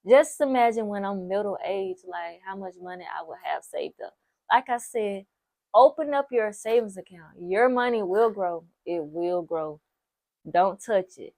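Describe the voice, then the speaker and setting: A woman's voice: mid-range voice, animated, unhurried, vocal fry, darkish tone, dry recording. Chatty nineteen-year-old woman, dry close sound. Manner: chatty, repeats herself for emphasis, lots of "like" and "so, yes"; encouraging, speaks straight to the viewer. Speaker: vlogger. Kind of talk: casual advice talk to camera.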